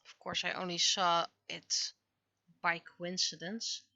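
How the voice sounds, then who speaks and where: A woman's voice: fairly low pitch, level tone, slow pace, sharp tone, lo-fi sound. A woman livestreamer chatting casually while she draws, often muttering to herself as she works. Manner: mutters to self while working. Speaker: livestreamer and webcomic artist.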